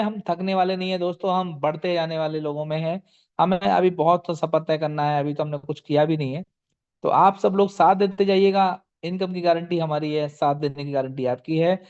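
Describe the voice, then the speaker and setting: A man's voice: high-pitched, smooth. A man hosting an online sales meeting, rousing and exhorting his team, mixing English phrases into his talk, on dull lo-fi call audio.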